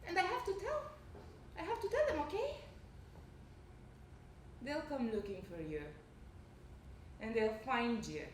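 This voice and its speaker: A woman's voice: on the high side, heavily acted. A young woman acting a domineering, evasive boss in an intense stage drama, commanding and coldly threatening. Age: young adult. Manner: commanding, repetitive, forceful. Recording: echoey stage, slight background noise.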